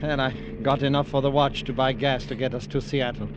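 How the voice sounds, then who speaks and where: A medium-pitched male actor as a fugitive, practical and protective, speaks in clipped, urgent phrases. It has the sound of a 1950s radio thriller with clear background noise. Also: steady tone, a little fast, dull audio, lightly acted. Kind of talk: 1950s radio drama acting.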